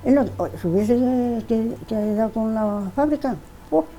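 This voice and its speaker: Older woman reminiscing, mellow dark voice. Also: fairly high pitch, moderate pace, highs cut off. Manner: rambling, repeats words, restarts often. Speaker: interviewee, widow of the artist. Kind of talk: casual reminiscing in an interview.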